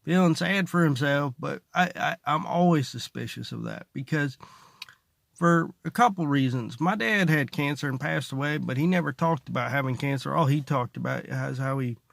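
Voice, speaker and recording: Creaky-voiced man rambling with dry sarcasm. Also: on the high side, moderate pace, fairly bright tone, no echo. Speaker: stand-up comedian, vlogger. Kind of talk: casual comedic monologue to camera. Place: inside a parked car.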